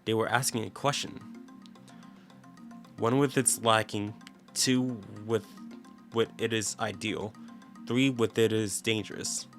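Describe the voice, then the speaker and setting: A man's voice: lowish voice, steady tone, slow pace, long pauses, fairly bright tone. A man reading game text aloud as a dramatic narrator in a let's play. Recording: background game music. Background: game music with plucked guitar.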